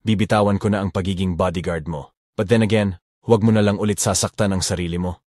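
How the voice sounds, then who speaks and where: A man voicing a young male bodyguard who speaks quietly and with resignation. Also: low voice, steady tone, fast talker, dry recording, studio quality, natural voice. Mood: resigned. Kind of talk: Tagalog audio drama acting.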